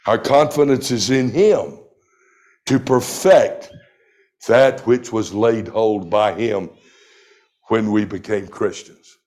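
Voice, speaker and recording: Man in his sixties, lowish voice, unhurried pastor delivering a sermon. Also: a little mellow. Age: 60s. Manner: slow, deliberate preaching with emphatic scripture reading.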